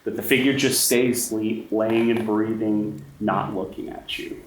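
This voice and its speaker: A man's voice: lowish voice, steady tone, unhurried. A man acting as game master, narrating a tabletop horror game to players. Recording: echoey room, faint noise.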